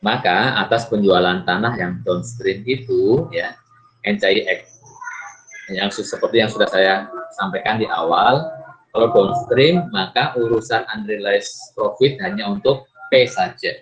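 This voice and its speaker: A man's voice: fairly low pitch, measured pace, faint music behind. An Indonesian man giving a step-by-step recorded lecture in a didactic way, often asking questions and answering them himself. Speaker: accounting lecturer. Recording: muffled, lo-fi microphone sound.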